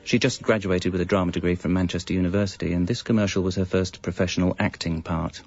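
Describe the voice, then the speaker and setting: An actor playing a wry, evasive British husband and father in his thirties, confessing in a deadpan, conversational comic narration. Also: sits low, quick pace, husky. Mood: confiding, deadpan. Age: 30s. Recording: dull, lo-fi radio audio. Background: music.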